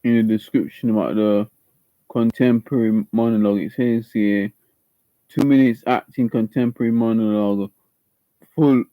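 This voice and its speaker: A man's voice: lowish voice, steady tone, normal speed, no room sound, narrow, tinny audio. A man with a slight Jamaican accent, chatting casually on a live stream and reading instructions aloud, often repeating and restarting his words. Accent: Jamaican-tinged. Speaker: livestreamer preparing an acting audition. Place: at home, seated.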